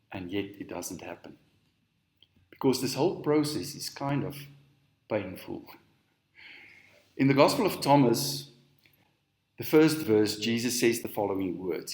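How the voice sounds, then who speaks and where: Low-voiced man, Afrikaans speaker, slow emphatic sermon, echoey room. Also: darkish tone. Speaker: spiritual teacher. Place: on stage in a hall.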